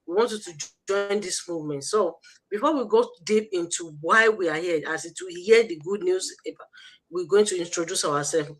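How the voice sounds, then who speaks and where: A Nigerian woman hosting an online livestream, giving a motivational pitch with emphatic, repetitive, preacher-like exhortation. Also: moderate pace, rough recording. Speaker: host of an online business promotion livestream.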